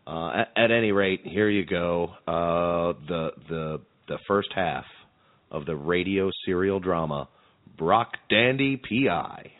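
A man's voice: deep voice, takes it slowly. A man co-hosting a podcast in casual, joking chat with a wry manner. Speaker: podcast co-host. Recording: narrow phone-like sound.

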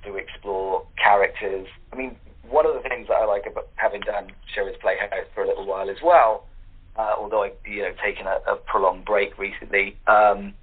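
A man chatting casually in a rambling, halting way over a narrow phone-like line. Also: fairly low pitch, flat delivery, breathy edge. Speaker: voice actor on a podcast panel. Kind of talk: casual chat. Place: remote call.

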